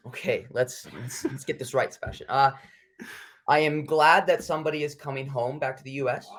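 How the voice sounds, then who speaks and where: A man answering interview questions in casual chat, rambling and self-conscious, hedging with plenty of fillers. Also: medium pitch. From United States. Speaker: interview guest.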